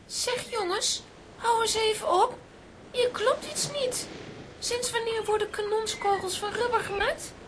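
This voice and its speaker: Very high-voiced bossy child. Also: female voice, a little slow, background noise. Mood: alert, insistent. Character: bossy, sharp-witted young child. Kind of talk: children's audio drama acting.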